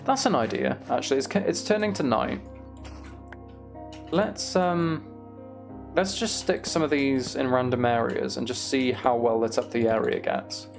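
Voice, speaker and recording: A man with a noticeably creaky, gravelly voice talks casually on a gaming livestream. He muses aloud to himself and to his viewers in a conversational way. Faint music plays behind him. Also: medium pitch, a little slow. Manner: musing aloud, reads and answers viewer comments. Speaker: live streamer.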